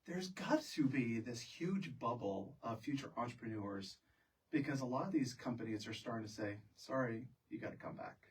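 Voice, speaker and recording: A husky-voiced American man chats as the host of a casual interview. He talks in a joking, chatty manner and puts questions to his guests, picked up a little distance from the microphone. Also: medium pitch, lively intonation, moderate pace. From Florida, United States. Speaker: podcast host.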